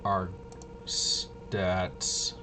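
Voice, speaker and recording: Guy with a lowish voice doing a casual rambly walkthrough, keeps hesitating and correcting himself, pretty noisy background. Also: completely flat delivery, drawn-out words. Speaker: tabletop game reviewer and presenter. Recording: clearly noisy room ambience.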